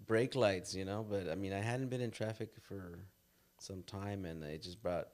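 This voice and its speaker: A man from Los Angeles interviewing a guest in casual chat, telling animated stories with sound effects and quoted voices. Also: low-pitched, normal speed.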